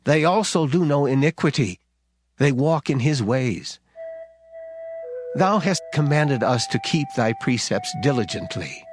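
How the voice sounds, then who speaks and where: A man reads scripture as a dramatized recording. His voice sits in a middle register, with music playing clearly behind him. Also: mid-range voice, lively intonation, unhurried. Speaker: scripture reader. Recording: reading over a music bed.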